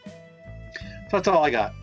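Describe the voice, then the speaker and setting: A man's voice: normal speed, lo-fi sound, soft background music. A man co-hosting a movie podcast in a laid-back, rambling way. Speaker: podcast co-host. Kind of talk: casual movie podcast chat.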